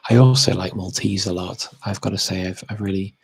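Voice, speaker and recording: Man, very husky and rough, low-pitched, hesitant and self-correcting, casual question-and-answer chat. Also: steady tone, fairly quick. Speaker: language expert answering audience questions.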